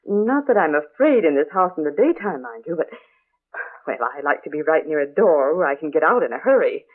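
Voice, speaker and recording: A woman's voice: low-pitched, very animated, brisk, slightly rough, full character voice. An actress playing a gossipy, superstitious older female neighbor in an old-time radio drama, chatty and exclamatory with an ominous way of telling things. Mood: chatty, fussy. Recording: muffled, narrow-band vintage radio recording.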